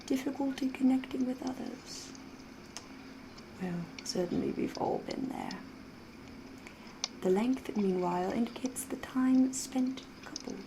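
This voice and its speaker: Woman acting as a seductive female vampire, ASMR roleplay, unhurried pace, soft half-whisper, elegant wording, sly and mysterious, medium pitch, clear background noise. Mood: probing, teasing. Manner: slow, deliberate, genteel phrasing with teasing pauses.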